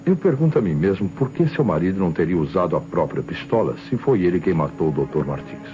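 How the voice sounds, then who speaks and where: An actor playing a calm, sceptical Brazilian police inspector in an old radio drama, questioning with deliberate authority. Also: male voice, low-pitched. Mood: probing, sceptical. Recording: dull vintage radio recording, faint music.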